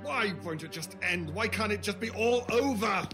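An actor playing a world-weary villain in an audio horror drama, muttering with contempt at human beings. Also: male voice, sits high, lively intonation, moderate pace. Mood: weary despair. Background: loud dramatic music.

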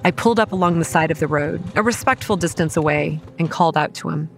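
A woman playing a pragmatic young campground manager, narrating a horror audio drama in a measured, matter-of-fact and wry way. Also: low voice, gravelly, slight background noise. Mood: tense narration. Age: young adult. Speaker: narrator and lead character. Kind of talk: first-person scripted horror narration and dialogue.

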